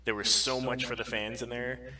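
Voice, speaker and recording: A man's voice: mid-range voice, flat delivery, moderate pace, pushed and bright, far from the mic, poor audio. A man co-hosting a casual livestream podcast, talking emphatically and freely. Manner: emphatic, frequent swearing. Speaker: podcast co-host.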